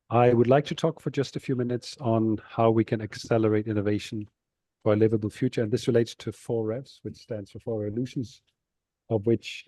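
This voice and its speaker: A middle-aged man from Denmark giving a relaxed, explanatory webinar presentation over a video call. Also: on the low side, no echo. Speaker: nonprofit executive director giving a talk. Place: seated on stairs of a train station.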